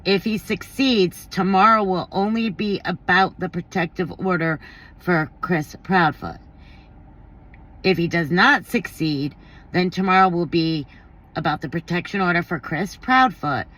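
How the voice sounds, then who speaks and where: A woman's voice: lowish voice, a little slow, a bit bright, low-quality recording. A woman giving casual opinion commentary, thinking aloud in a blunt, informal, slangy way. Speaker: commentary vlogger.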